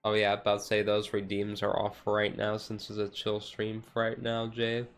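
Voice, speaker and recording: A man's voice: on the low side, completely flat delivery, normal speed, clean tone, soft background music. A man streamer chatting casually and rambling in a self-deprecating way as he talks to his live viewers. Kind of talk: casual live-stream chat while sorting cards.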